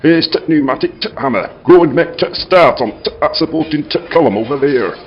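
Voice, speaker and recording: A man playing a gruff, bossy foreman in a comic audio drama. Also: fairly high pitch, fairly quick, close to an everyday voice. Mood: bossy, impatient. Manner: barking, colloquial. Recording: muffled, noisy site ambience.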